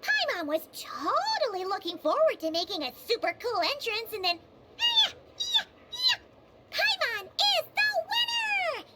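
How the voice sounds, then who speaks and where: Casting: tiny childlike game sidekick fairy, extremely high voice, boastful, swooping delivery, music behind. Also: female voice, measured pace, very noisy, heavily acted. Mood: excited. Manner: speaks of herself in the third person, expressive. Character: small excitable female fairy companion, childlike and boastful. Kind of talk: video game character acting.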